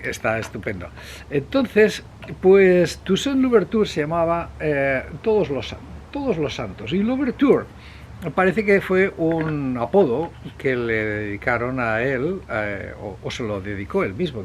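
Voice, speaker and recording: A man from Spain presenting a history video in an unscripted, conversational way, rambling and hesitant, with pauses, digressions and self-corrections. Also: medium pitch. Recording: clear steady background noise.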